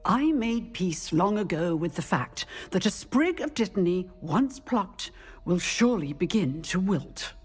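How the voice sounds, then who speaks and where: A composed, wistful witch professor from a fantasy game. Her husky voice moves expressively through formal, philosophical lines, with music behind and long hall reverb. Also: lowish voice, sing-song, measured pace, heavily acted. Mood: calm, reflective. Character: composed, wistful, injured female former ministry agent turned professor. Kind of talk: scripted game dialogue.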